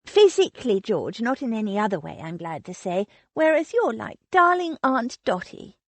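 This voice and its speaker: A woman voicing an eager, impulsive young woman in a storybook reading, speaking brightly and quickly to reassure. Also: on the high side, big pitch swings, no echo, voice pushed up. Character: warm, eager young woman, loyal cousin.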